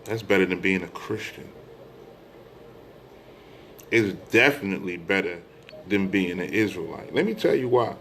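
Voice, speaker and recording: A man's voice: deep voice, animated, normal speed, long pauses, a bit bright, a little hiss or hum. A middle-aged American man giving an informal religious monologue, speaking emphatically, repeating phrases and posing rhetorical questions. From United States. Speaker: religious speaker addressing online followers.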